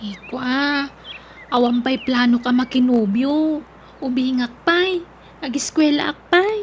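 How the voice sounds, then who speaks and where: High-pitched, shy Filipino teenage schoolgirl. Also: measured pace, a little hiss or hum. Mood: bashful. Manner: hesitant, questioning. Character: shy, hesitant seventeen-year-old schoolgirl being courted. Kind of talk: comedic Ilocano radio drama acting.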